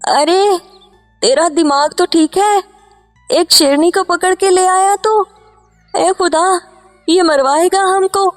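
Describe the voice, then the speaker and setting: A woman's voice: very high voice, slow, long pauses, faint music behind, voice pushed up. A woman voicing an anxious, scolding mother in a cartoon story, given to exclaiming. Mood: alarmed, scolding. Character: scolding, anxious mother of a grown hunter son.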